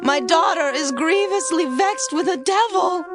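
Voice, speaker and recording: High-voiced pleading desperate mother. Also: measured pace. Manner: imploring, emotional. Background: music.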